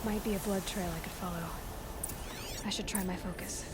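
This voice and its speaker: An actress playing a determined young huntress in a video game, measured, pensive and observant. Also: female voice, medium pitch, fairly even intonation, heavy background noise, lightly acted. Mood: pensive, focused. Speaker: game character actor. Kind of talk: dramatic video game acting. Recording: loud game ambience behind.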